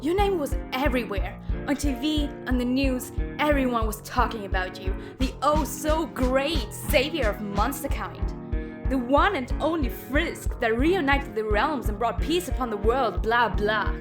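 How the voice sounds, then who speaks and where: A woman's voice: high-pitched, expressive, unhurried, over music. A woman playing a smug, scornful young villainess in an audio drama, taunting and sarcastic. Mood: mocking. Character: smug, scornful villainous girl with mind powers.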